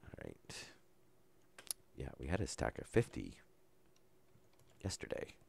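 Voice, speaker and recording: A man calmly talking through a survival game as he plays, low-key and unhurried, with no put-on enthusiasm. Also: creaky, slight background noise. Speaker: gameplay commentator. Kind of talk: casual let's-play commentary.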